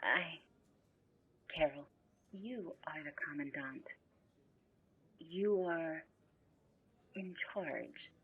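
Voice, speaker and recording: A woman's voice: low-pitched, very slow, pauses often, breathy edge, full character voice. A woman acting in a supernatural audio drama as a meek, earnest, otherworldly assistant, hesitant and deferential, in broken phrases, on a muffled recording. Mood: apologetic, deferential.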